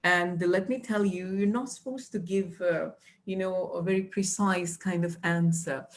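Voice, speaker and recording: A woman's voice: fairly low pitch, steady tone, a little slow. A young woman, teaching exam tips patiently with many fillers and restarts. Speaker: IELTS trainer. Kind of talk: live online teaching.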